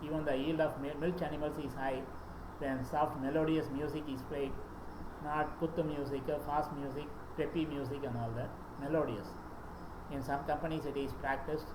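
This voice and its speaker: A man's voice: a little high, little pitch movement, normal speed. A man with an Indian English accent, a native Tamil speaker, giving a presentation and reading out lists with occasional fillers and restarts. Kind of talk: lecture presentation. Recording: echoey room with background noise.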